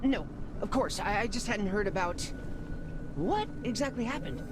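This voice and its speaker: A man's voice: high-pitched, lively intonation, normal speed. A man playing a cheeky, eager teenage Spartan boy in a video game, wry and full of questions. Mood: curious, uneasy. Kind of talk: video game character dialogue. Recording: loud music behind the voice.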